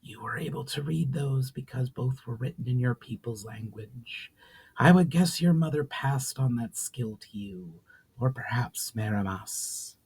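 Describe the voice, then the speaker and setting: A man voicing an ancient, stern and haughty sorceress spirit of flame in a slow, solemn, whispery voice for a fantasy audiobook. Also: medium pitch, animated, full character voice, rough recording. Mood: stern, instructive. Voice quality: hushed, whispery, breathy. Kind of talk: dramatic audiobook reading.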